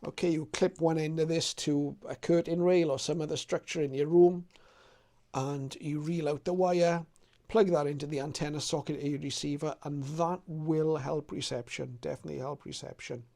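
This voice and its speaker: Male presenter, slightly high voice, conversational step-by-step explainer for a hobby channel. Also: fairly even intonation, moderate pace, gravelly, warm tone. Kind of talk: informal explainer to viewers.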